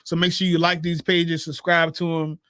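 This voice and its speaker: A man's voice: on the high side, flat delivery, normal speed, fairly bright tone, clean tone, dry recording, clean studio sound. A man from Philadelphia co-hosting a casual podcast chat, laughing often and repeating his words. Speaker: podcast co-host.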